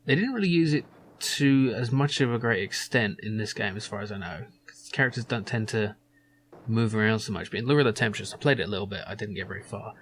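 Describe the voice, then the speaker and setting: A man's voice: fairly low pitch, fast talker, fairly bright tone, slight background noise. A man hosting a casual game playthrough, chatting in an amused way and reading the hero's lines. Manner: chatty and amused, with frequent laughs and fillers. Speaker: gameplay video host. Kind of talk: casual let's-play commentary and reading lines.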